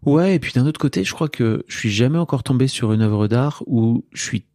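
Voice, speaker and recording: A man's voice: mid-range voice, lively intonation, fairly quick, dark tone, dry recording, studio quality. A man hosting a casual interview, speaking informally and hesitantly as he searches for his words. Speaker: podcast host interviewer.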